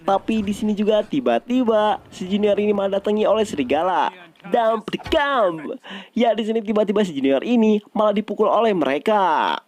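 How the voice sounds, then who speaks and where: Man, Indonesian accent, high voice, informal scripted narration, film recap. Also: fairly quick, a little mellow.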